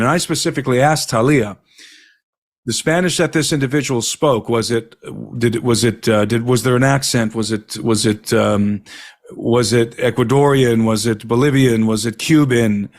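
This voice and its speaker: A man's voice: medium pitch, moderate pace. A man, an investigator, earnestly recounting a witness's account in detail, often repeating himself as he gathers his words. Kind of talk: recounting an interview.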